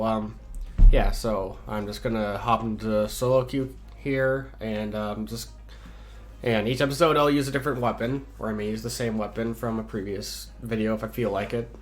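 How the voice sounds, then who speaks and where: A man hosting a gaming channel, chatting casually over gameplay while stuffy from a cold, laid-back and hesitant with lots of ums and restarts. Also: medium pitch.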